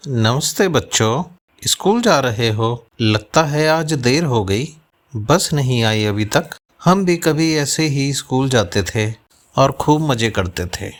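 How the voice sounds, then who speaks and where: A man playing a friendly, caring village police inspector who talks to children in a gentle, fatherly way in a story. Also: medium pitch, expressive, takes it slowly. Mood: kindly. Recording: music behind the voice. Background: music.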